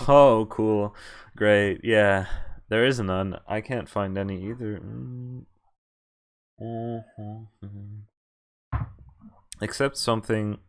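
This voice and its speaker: A man streaming a visual novel, reading the text aloud and breaking off haltingly into muttered casual commentary. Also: lowish voice. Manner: halting, often pausing to mutter asides. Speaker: game streamer.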